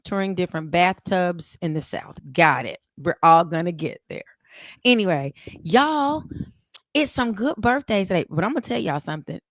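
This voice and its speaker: A woman co-hosting a casual morning talk show, chatting in a folksy, animated way. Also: fairly low pitch, moderate pace, no echo, clean studio sound. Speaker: co-host.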